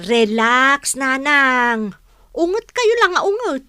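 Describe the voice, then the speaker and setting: A lively Ilocano elder sister in a radio comedy. Her voice is highish and very bright, expressive and plain-spoken. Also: takes it slowly, dry recording, clean studio sound, full character voice. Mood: exasperated. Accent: Ilocano, northern Philippines. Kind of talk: radio comedy drama acting.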